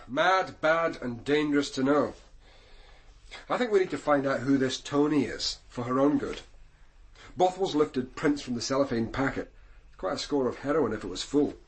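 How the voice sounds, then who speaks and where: A man playing a senior police detective in a radio crime drama, Scottish, with a measured, dry, authoritative manner. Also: medium pitch, a little hiss or hum, close to an everyday voice. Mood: brisk, dry.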